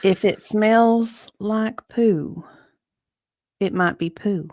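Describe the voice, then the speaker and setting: A woman's voice: mid-range voice, very slow, a little mellow, no echo. A woman chatting casually as a guest on an online show, playful and agreeable. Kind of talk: casual livestream chat.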